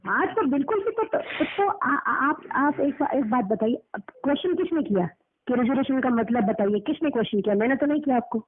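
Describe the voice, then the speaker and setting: A woman's voice: sits high, animated, a little fast, a little hiss or hum. A woman arguing as a customer's advocate on a recorded phone call, lecturing and questioning, repeating phrases for emphasis. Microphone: telephone.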